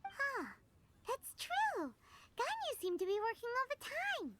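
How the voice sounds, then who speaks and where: An actress voicing a tiny, cheeky childlike companion creature in a video game, squeaky, blunt and inquisitive. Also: female voice, sing-song, slow pace, heavy background noise, full character voice. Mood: musing.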